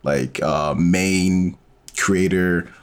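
A man's voice: low voice, steady tone, drawn-out words, smooth. A man speaking as an interview guest, explaining his storytelling in a casual, informal way and thinking aloud as he goes.

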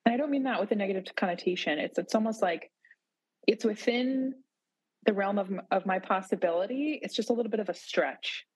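Husky-voiced woman, thoughtful and unhurried. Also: mid-range voice, darkish tone, dry recording, low-quality recording. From Boise, Idaho area, USA. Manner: thoughtful, with frequent restarts and hedges. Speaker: podcast guest. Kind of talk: reflective casual conversation.

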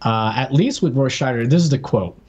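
A man's voice: medium pitch, animated, brisk, darkish tone, husky, highs cut off. A man co-hosting a podcast, giving irreverent, casual comedic commentary with quotes and loose swearing. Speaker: podcast co-host.

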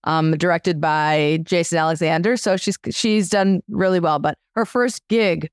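A woman's voice: fairly low pitch, fairly even intonation, measured pace, clear, resonant tone, dry recording, studio quality. Chatty woman hosting a podcast. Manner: chatty, digressive, encouraging. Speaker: podcast host. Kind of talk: casual interview chat.

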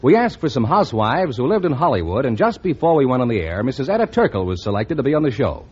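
A man's voice: mid-range voice, big pitch swings, fast, slightly rough. A man announcing an old-time radio show, introducing things in a formal style. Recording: dull, muffled old radio broadcast.

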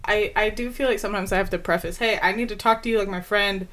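A woman's voice: medium pitch, fairly even intonation, fast talker, a bit bright. A woman in her twenties, co-hosting a podcast in casual chat, laughing often and rambling with many restarts. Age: 20s. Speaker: podcast co-host.

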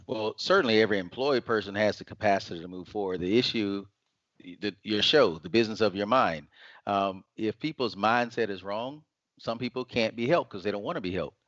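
Measured low-voiced male financial advisor. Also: a bit bright, no echo. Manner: measured, explanatory. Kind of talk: interview answers. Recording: dry, dull lo-fi sound.

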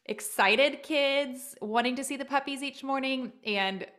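A woman's voice: high voice, measured pace, fairly bright tone. A woman in her thirties co-hosting a podcast, telling a story in an agreeable, chatty way. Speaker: podcast co-host. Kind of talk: casual chat.

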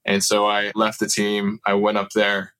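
A man's voice: lowish voice, monotone, moderate pace, bright, forceful tone, no echo. A man telling personal stories casually and earnestly as an interview guest. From born in Southern California, grew up in Turkey. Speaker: podcast guest, aerospace founder.

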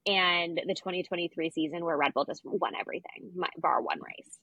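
Woman cohost chatting sports, bit husky, lowish voice, emphatic and informal, close dry sound. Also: brisk, sharp tone, no echo, muffled recording. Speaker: podcast co-host. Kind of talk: casual sports chat.

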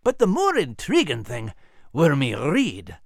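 Scottish dog hero for a kids audiobook, folksy, really swoopy pitch, dry close sound. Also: male voice, a little slow, vocal fry, no echo, heavily acted. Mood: folksy, reflective. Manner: animated, folksy. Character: earnest, grateful male Scottish dog hero.

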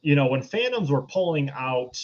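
A man's voice: on the high side, very animated, moderate pace, lo-fi sound. A man co-hosting a podcast, explaining things in a rambling, casual film discussion. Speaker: podcast co-host.

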